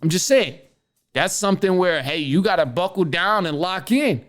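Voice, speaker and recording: A man with a high-pitched voice hosts a sports show. He talks casually and with strong opinions, using slang and repeating phrases to drive points home. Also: lively intonation, normal speed. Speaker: sports podcast host.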